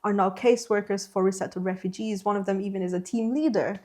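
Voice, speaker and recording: A woman's voice: medium pitch, quick pace, a little mellow. A woman whose first language is Slovene, answering interview questions on a podcast in a thoughtful, self-deprecating way with many fillers. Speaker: interpreter trainer.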